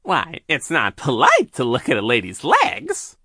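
A man voicing a scheming male villain disguised as a prim lady receptionist, in a coy mock-ladylike falsetto. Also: huge pitch swings, unhurried, creaky, sharp tone, slightly rough, no echo, studio quality, exaggerated voice.

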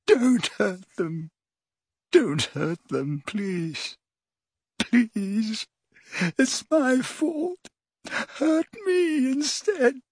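A man voicing a tormented old wizard who begs and sobs in high, broken cries. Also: lively intonation, very slow, long pauses, a little mellow, slightly rough, dry recording, clean studio sound, heavily acted. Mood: anguished. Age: older.

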